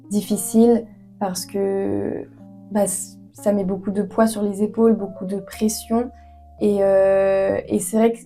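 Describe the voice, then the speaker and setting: A woman's voice: medium pitch, warm tone. A young woman in her twenties from France, answering interview questions earnestly with many hesitations and fillers. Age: 20s. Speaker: author guest.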